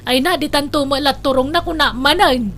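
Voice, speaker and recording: Woman, Ilocano accent, high-pitched, bright, sharp-tongued, defensive, audio comedy drama. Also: moderate pace, slight background noise. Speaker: drama voice actor, daughter-in-law caring for an old mother. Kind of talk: Ilocano radio-style comedy drama acting.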